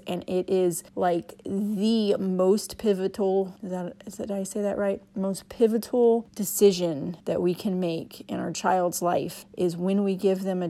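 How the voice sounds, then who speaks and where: A podcast host, a woman whose voice sits a little low and comes out raspy from a sore throat. She talks in an earnest, emphatic way, pressing her points as she advises her listeners. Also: warm tone. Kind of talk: solo podcast monologue.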